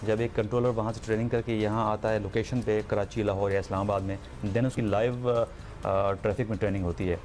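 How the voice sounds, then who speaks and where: A man's voice: lowish voice, fairly even intonation, brisk, a little mellow, soft background music. A man from Pakistan, an air traffic controller and show guest, explaining training steadily and mixing in English phrases. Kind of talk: explanatory talk-show answers.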